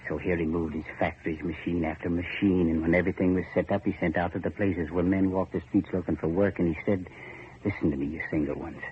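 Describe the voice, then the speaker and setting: A man's voice: sits low, natural voice. An actor playing a grim, cryptic older boatman in old radio horror style, speaking in folksy, clipped phrases. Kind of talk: 1940s radio horror acting. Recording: noisy muffled old radio recording.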